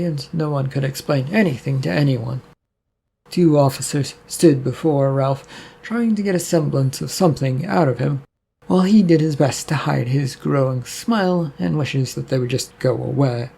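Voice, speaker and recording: A man reading a serial story aloud at a steady pace, with small restarts. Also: mid-range voice, creaky, husky. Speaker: narrator.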